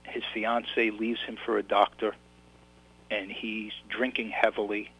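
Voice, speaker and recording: A man's voice: fairly low pitch, fairly bright tone, breathy edge, low-quality recording. A man with a New York accent, an author telling his story in a casual interview, rambling and restarting his sentences. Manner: rambling, repeats words and restarts.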